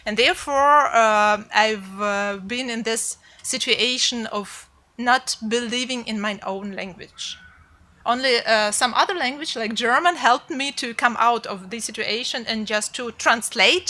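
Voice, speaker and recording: A translator speaking English on a panel, thoughtful and halting with many fillers. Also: female voice, fairly high pitch, bright, forceful tone. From Ukraine. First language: Ukrainian. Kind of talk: panel discussion.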